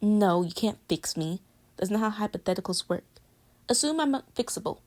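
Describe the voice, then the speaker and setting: A woman's voice: medium pitch, animated, moderate pace, darkish tone, natural voice. A woman playing a playful, affectionate girlfriend in a casual, intimate pillow-talk roleplay, teasing her partner. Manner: casual, teasing, conversational with giggles. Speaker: audio roleplay voice actor.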